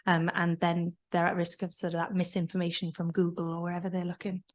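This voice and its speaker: A woman's voice: lowish voice, completely flat delivery, brisk. A woman taking part in a workshop, sharing her group's feedback with hesitant ums. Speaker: workshop participant.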